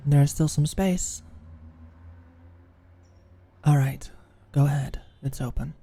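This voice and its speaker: An actor playing a teasing, caring British office manager in an intimate ASMR roleplay, speaking softly and breathily with a dry manner. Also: mid-range voice, takes it slowly, background noise. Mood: polite, attentive. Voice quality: soft, breathy, often near-whispered. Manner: dry, gently teasing, solicitous.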